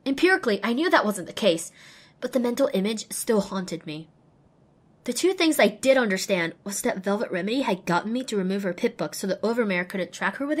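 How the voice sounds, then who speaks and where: A woman's voice: mid-range voice, lightly acted. A woman playing a small, anxious outcast pony mare who tells her own story expressively and introspectively in an audio drama. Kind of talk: first-person dramatic audiobook narration.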